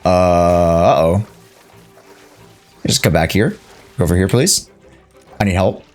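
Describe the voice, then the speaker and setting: A young man giving casual live gameplay commentary as a streamer, playful, slangy and quick to react, often talking to himself. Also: deep voice, darkish tone. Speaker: game streamer. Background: faint game music.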